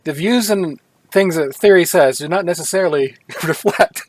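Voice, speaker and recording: A man co-hosting a casual gaming podcast, talking hesitantly with many restarts and quiet trailing off. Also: a little high, normal speed, heavy background noise. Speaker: podcast co-host.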